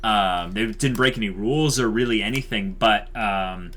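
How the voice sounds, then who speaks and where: A man's voice: on the low side, normal speed, fairly bright tone, slight background noise. A man co-hosting an online channel, explaining things informally in casual chat to viewers.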